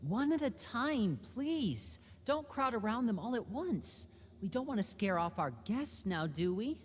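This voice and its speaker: Patient, expressive caretaker. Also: female voice, fairly high pitch, a little slow, slightly rough, exaggerated voice. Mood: gently chiding. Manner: gently chiding, composed. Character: patient caretaker gently minding excitable children. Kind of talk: video game voice acting. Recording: game music behind the voice.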